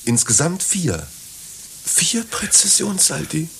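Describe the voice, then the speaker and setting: A man's voice: a little high, big pitch swings, slow, vocal fry, background noise, full character voice. An actor playing a matter-of-fact businessman in a satirical radio play. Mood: reassuring.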